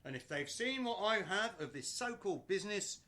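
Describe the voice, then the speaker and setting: An actor playing a smug, officious council official in a radio play, with a pompous, sneering delivery. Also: male voice, sits high, animated, brisk, pushed and bright. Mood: smug, scornful.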